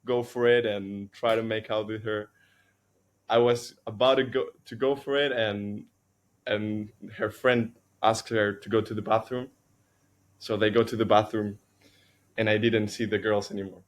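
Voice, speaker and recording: A man from Chile, a native Spanish speaker, telling a story on a podcast in a halting way with many restarts and fillers. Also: fairly low pitch, flat delivery. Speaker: podcast co-host. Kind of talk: casual storytelling.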